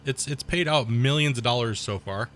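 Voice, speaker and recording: A man's voice: mid-range voice, normal speed, a little hiss or hum. A man who is sick and losing his voice, giving casual, rambling commentary over his own gameplay and explaining terms as he plays. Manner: rambling, explains terms, excited outbursts at mishaps. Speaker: gaming channel host.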